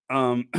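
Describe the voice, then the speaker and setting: A live-stream host signing off in casual, rambling chat, joking and chuckling and calling chat viewers by name. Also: medium pitch.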